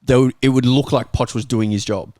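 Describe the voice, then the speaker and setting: A man's voice: mid-range voice, fairly even intonation, moderate pace, a little mellow. A man debating football casually and bluntly as a talk show panelist, given to swearing.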